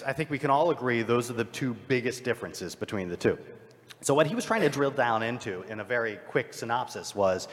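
A man on a live convention panel, thinking aloud with frequent restarts and lively jumps in emphasis. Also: mid-range voice. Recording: hall mic with long reverb.

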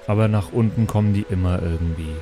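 A man playing Saint Peter as a laid-back old man with dry, deadpan humor. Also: lowish voice, fast talker, soft background music, lightly acted.

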